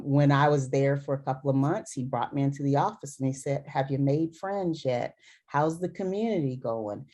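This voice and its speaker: A middle-aged woman with a low, mellow voice speaks in an earnest conversational way. She drives her points home with repetition and emphasis, like a physician answering questions after a talk. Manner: earnest, emphatic, repeats for stress. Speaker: dermatologist speaker.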